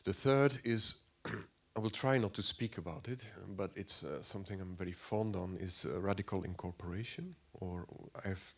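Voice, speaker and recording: A man in his forties with a cold, giving a halting lecture as an artist, clearing his throat often and filling pauses. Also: low voice, fairly bright tone. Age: 40s. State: has a cold.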